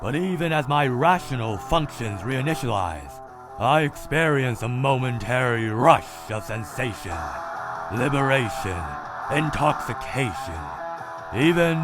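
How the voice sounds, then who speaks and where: A man voicing a cold, logical male robot scientist who narrates in a clinical, measured, detached way for a comic dub. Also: medium pitch, lightly acted. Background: loud music.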